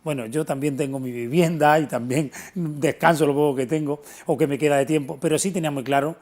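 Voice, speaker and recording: A middle-aged man speaks at a quick clip in a slightly high voice. He is a local historian being interviewed, explaining things earnestly and with emphasis. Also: steady tone, a little mellow. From Antequera, southern Spain. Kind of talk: unscripted television interview answers.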